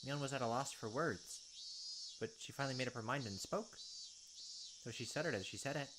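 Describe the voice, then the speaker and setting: A man's voice: medium pitch, fairly quick, heavy background noise. A man reading a visual novel's text aloud in a let's play, adding joking asides.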